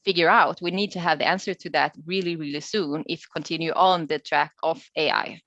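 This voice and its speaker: A woman from Sweden speaking as a guest researcher, explaining haltingly in an unscripted webinar question session over a video call. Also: deep voice, fairly even intonation, fairly bright tone, no echo. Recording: dull, lo-fi video call audio.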